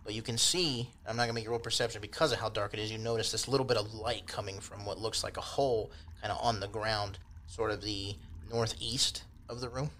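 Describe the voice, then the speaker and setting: A man with a fairly low, bright voice narrates a tabletop game as game master. He describes things loosely and conversationally, adding little asides as he goes. There is faint noise behind him. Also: fairly even intonation, fairly quick, hardly any pauses.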